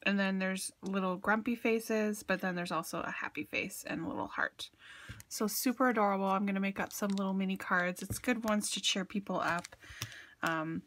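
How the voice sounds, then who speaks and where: A woman's voice: mid-range voice, steady tone, normal speed, fairly bright tone. A woman with a sniffly, stuffed-up voice, chatting casually and gushing as she shows off craft supplies on camera, with a lot of ums. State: sniffly from allergies. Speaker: craft haul vlogger. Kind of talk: casual show-and-tell.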